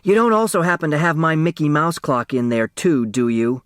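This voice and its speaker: A man voicing a brash, sharp-tongued little sister in an audiobook, blunt and combative. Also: a little high, sing-song, moderate pace, clean tone, no room sound, clean studio sound, pitched above the performer's own voice. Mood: cocky, needling.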